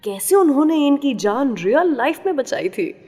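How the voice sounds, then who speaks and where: A woman's voice: sits high, very animated, moderate pace, mellow, soft background music. A woman hosting a radio show, telling scripted stories and talking directly to the listener in a conversational way. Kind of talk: scripted radio storytelling and song introductions. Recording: long reverberant tail on voice.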